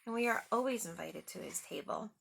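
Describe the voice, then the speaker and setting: A woman's voice: mid-range voice, lively intonation, brisk, creaky, fairly bright tone, slight background noise. A woman reading a picture book aloud to young children, talking simply and adding little questions and asides. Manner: simple, child-directed talk with rhetorical questions. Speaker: storyteller for children.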